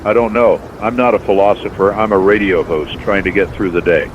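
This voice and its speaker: A man narrating a scripted first-person horror story as a radio host, calm and reflective. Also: fairly low pitch, brisk. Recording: dull lo-fi recording with faint noise.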